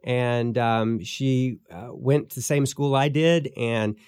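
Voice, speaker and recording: A man in his fifties, a pastor and podcast co-host, talking casually about his book with many pauses, fillers and restarts. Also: mid-range voice, a little mellow, clear, resonant tone, no echo. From born in Guyana, raised in Belize. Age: 50s. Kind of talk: casual interview chat.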